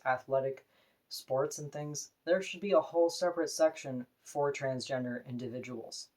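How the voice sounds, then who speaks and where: A woman with a deep voice, talking to camera in an earnest, opinionated, plain-spoken personal vlog. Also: darkish tone. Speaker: vlogger.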